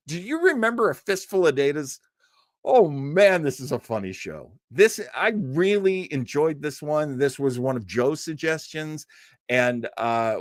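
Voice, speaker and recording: A man hosting a live show, chatting casually with viewers in a halting, self-deprecating way and laughing easily. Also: medium pitch, dry recording, studio quality. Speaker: host of a live old-time radio show stream. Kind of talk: casual live chat with viewers, rambling sign-off.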